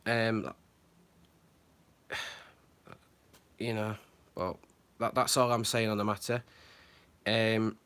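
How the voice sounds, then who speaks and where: A man with a fairly low voice talks off the cuff about a matter that worries him. He stops and restarts often, filling gaps with ums. His tone stays earnest and concerned. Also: fairly even intonation, extremely slow, pauses often, fairly bright tone, clear, resonant tone. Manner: hesitant, many fillers and restarts. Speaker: channel host. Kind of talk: unscripted commentary to camera.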